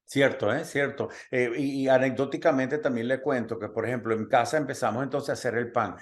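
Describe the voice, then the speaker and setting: A man's voice: medium pitch, steady tone, fairly quick. A man from Cuba, raised in Puerto Rico, hosting a health talk and chatting with many fillers and personal anecdotes. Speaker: host. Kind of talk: conversational interview.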